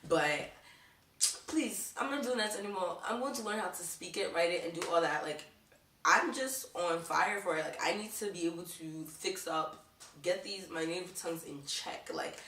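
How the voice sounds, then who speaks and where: A woman's voice: on the low side, moderate pace, sharp tone, roomy sound, recorded from a distance, slight background noise. A young woman vlogging casually to camera, chatting and leaning on "like" in nearly every sentence. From Baltimore, USA. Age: young adult. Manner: chatty, leans on "like" constantly.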